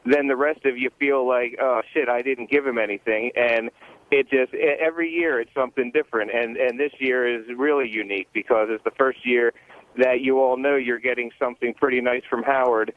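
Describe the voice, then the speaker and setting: A man's voice: mid-range voice, steady tone, fairly quick. A man giving casual commentary on a radio show, through a thin phone-like sound.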